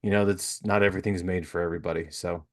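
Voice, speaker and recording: A male podcast host with a fairly low voice, talking in an easygoing, rambling way. He leans on fillers like "you know" as he works through his questions. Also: steady tone, fast. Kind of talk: casual interview chat.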